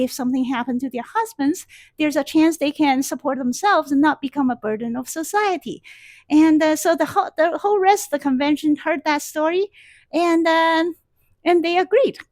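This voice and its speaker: A woman's voice: high-pitched, no room sound. A middle-aged woman math teacher in a classroom, telling a story in a chatty, rambling way with many fillers, on a headset mic. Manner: chatty, many fillers and restarts, animated gestures. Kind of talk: casual storytelling lecture. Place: classroom in front of a whiteboard.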